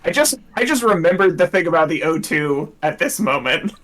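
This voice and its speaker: Eager man player, slightly high voice. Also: lively intonation, normal speed, fairly bright tone, poor audio. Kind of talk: casual tabletop play.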